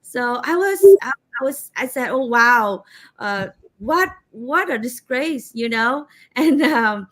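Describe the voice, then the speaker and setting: A woman interviewer with a Vietnamese accent, talking quickly and animatedly with many fillers. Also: on the high side, expressive, fairly bright tone, low-quality recording. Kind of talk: interview and translating.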